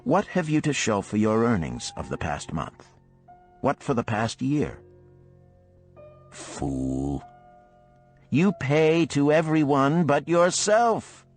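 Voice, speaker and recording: A man's voice: medium pitch, huge pitch swings, slow, pauses often, faint music behind, voice pushed up. Man acting a stern, emphatic old moneylender. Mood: stern, probing, then scolding. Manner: emphatic, lecturing, rhetorical. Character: stern old male moneylender mentor, blunt and scolding. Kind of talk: audiobook parable character dialogue.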